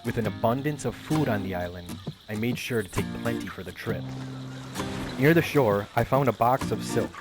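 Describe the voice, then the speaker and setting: A man narrating a first-person adventure story in a measured, calm storytelling manner. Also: lowish voice. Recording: faint music behind voice.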